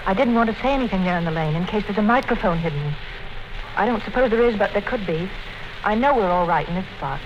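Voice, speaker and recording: A woman's voice: medium pitch, lively intonation, quick pace, natural voice. An actress playing a secretly rebellious, pragmatic young woman of twenty-six in a 1950s radio drama. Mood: guarded, brisk. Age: young adult. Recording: dull, noisy 1950s radio recording.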